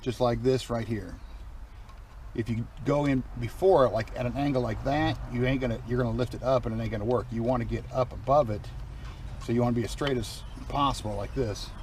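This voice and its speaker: A man's voice: mid-range voice, steady tone, brisk, darkish tone, a little hiss or hum. A man giving a folksy, informal hands-on tutorial in a casual, reassuring way while working in a vehicle. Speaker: DIY vlogger demonstrating a car repair. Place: inside or beside a Jeep.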